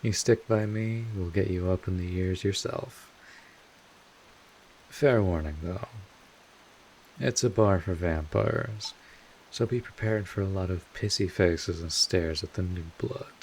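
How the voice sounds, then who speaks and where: A man playing a world-weary but kindly old vampire mentor in an audio roleplay, speaking informally and in measured phrases with wry asides. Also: low-pitched, darkish tone, a little hiss or hum, low-quality recording. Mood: wry, amused. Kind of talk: second-person audio roleplay monologue.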